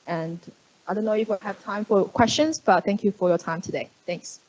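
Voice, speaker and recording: A woman's voice: moderate pace, darkish tone, muffled recording, slight background noise. A woman giving a conference presentation, speaking with many fillers and restarts. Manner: hesitant, many fillers, upbeat pitch. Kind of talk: conference talk. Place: conference room.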